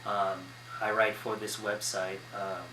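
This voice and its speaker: A young American man speaks casually to camera from his bedroom, pausing often with ums and uhs. His voice sits fairly low. The recording is roomy and echoey, with steady noise underneath. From United States. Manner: hesitant, many ums and uhs. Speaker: vlogger. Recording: echoey room, noisy background.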